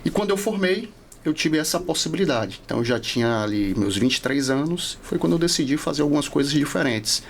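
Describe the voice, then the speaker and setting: Brazilian guy from the northeast, 40s, kinda gravelly, explaining stuff in a reflective interview chat, slight room noise. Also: fairly high pitch, expressive, brisk. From Salvador, Bahia, Brazil. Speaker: podcast guest, law professor.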